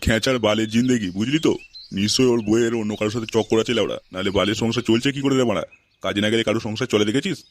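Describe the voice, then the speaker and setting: A man's voice: lowish voice, steady tone, very fast, close to an everyday voice. A man voicing a crude, gossipy building-site foreman in an amateur comedy cartoon, blunt and chummy. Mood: scornful gossip. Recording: noisy amateur recording.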